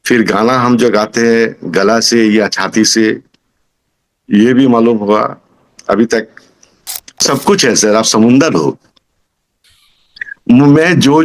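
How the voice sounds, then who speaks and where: A man in his sixties from Kolkata with a Bengali accent, giving an informal testimonial as a student, halting as he searches for words. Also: mid-range voice. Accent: Bengali-accented Hindi. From Kolkata, India. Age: 60s.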